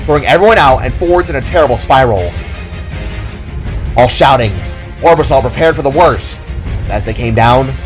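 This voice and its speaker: A man's voice: mid-range voice, moderate pace, long pauses, faint music behind. A man narrating a scripted adventure story in a dramatic style.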